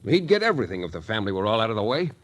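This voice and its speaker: An actor playing a wry, terse, flirtatious hardboiled private detective in a 1940s radio drama. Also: male voice, on the low side, very animated, very fast. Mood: grave, explaining. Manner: wry, terse tough-guy patter. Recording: 1940s radio recording with music.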